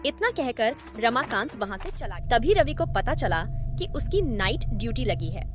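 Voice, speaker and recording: Animated woman narrating a horror story over music. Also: a little high, moderate pace.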